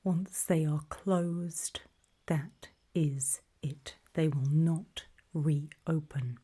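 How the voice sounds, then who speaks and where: Woman acting slow, whispering, faintly sinister briefing official. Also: sits low, fairly even intonation, dark tone, full character voice. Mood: ominous, matter-of-fact. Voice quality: breathy whisper. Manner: slow, measured, politely ominous. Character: calm, faintly sinister official briefing people before alien zone. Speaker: ASMR roleplay performer. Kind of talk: whispered ASMR roleplay briefing.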